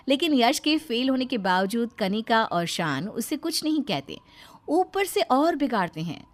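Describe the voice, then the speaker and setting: A woman narrating a scripted cartoon family story as storyteller. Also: medium pitch.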